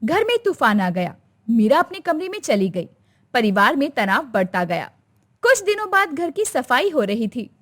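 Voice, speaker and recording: Woman, storyteller, high pitch, lively intonation, scripted bedtime story narration. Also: moderate pace.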